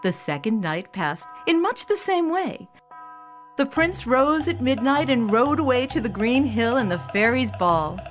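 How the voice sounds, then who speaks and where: High-voiced woman narrating a children's fairy tale. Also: big pitch swings, measured pace. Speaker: storyteller. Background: music.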